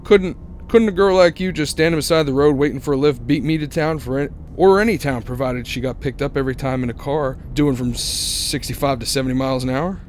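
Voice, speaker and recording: An actor playing a haunted, anxious young driver in a radio drama, narrating earnestly and stammering when agitated. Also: male voice, mid-range voice, a little fast, slight background noise, close to an everyday voice. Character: haunted, anxious young male cross-country driver narrating his ordeal.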